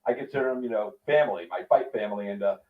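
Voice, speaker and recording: A man's voice: fairly low pitch, racing, narrow, tinny audio. A man of fifty-one with an American accent, hosting a casual, profane interview in streetwise slang. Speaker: interview show host. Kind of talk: casual, profane video-call chat.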